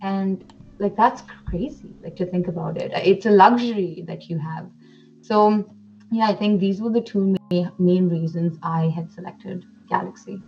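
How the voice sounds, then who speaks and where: A woman's voice: mid-range voice, unhurried, vocal fry, highs cut off, faint music behind. A woman in her twenties who grew up in India, talking in a chatty, enthusiastic way with many fillers and restarts as an interview guest. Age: 20s. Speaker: pageant titleholder guest. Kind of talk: casual interview.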